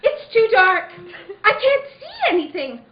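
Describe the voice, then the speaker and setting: A woman's voice: very high voice, big pitch swings, a little slow, full character voice. A woman playing a dreaming girl in a radio drama, insistent as she reasons with and reassures her other self. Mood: uneasy, insistent. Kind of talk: amateur radio drama acting. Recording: echoey, dull, faintly noisy room recording.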